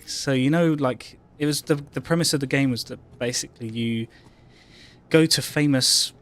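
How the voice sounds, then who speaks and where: A man's voice: mid-range voice, normal speed, fairly bright tone. A man co-hosting a live game stream in casual, rambling, sweary chat. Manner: rambling, hesitant phrasing, sweary. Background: game music.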